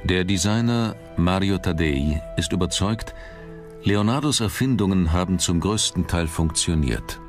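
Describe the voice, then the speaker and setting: A man's voice: sits low, unhurried. A man reading scripted narration for a documentary as its narrator. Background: background music.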